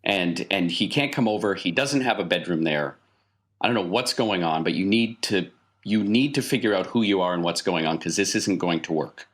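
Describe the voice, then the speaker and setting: Tabletop roleplay part: fed-up, sharp-tongued ex-wife performed by a man, low-pitched. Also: animated, normal speed. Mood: scolding.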